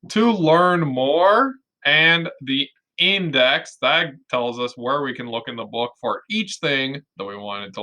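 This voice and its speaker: A male kindergarten teacher reads aloud to young children in a bright, forward voice. He takes his time, stressing key words and explaining things simply. His delivery is expressive and full of guiding questions. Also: medium pitch.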